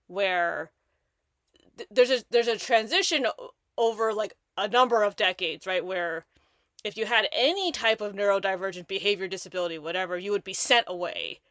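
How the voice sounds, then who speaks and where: A woman hosting a podcast, talking in an animated, rambling way with lots of restarts and fillers. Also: medium pitch, no room sound, clean studio sound. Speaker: podcast host. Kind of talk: casual conversation.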